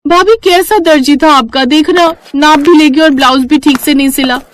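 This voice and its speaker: Woman as stubborn cartoon bride-to-be, young and combative, pitched very high, whiny and indignant. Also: steady tone, normal speed, slight background noise, close to an everyday voice. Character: hot-tempered, stubborn, heavyset young bride-to-be.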